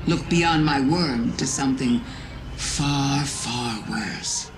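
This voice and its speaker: A woman voicing a cunning, taunting alien witch-god in a theatrical, insinuating video game monologue full of pointed pauses. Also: rumbling low. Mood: ominous, menacing. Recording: echoing voice under prominent music. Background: music.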